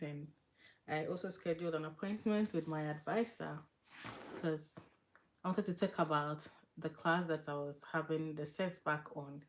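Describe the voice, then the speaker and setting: Woman livestreamer with a lower-pitched voice. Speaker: livestream host. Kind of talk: casual devotional livestream with testimony and book reading.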